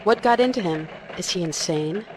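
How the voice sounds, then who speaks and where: An actress playing a composed, mock-serious hen news anchor in a game cutscene, with deadpan announcer phrasing. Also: female voice, lowish voice, normal speed, natural voice. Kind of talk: parody newscast delivery. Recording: noticeable background noise.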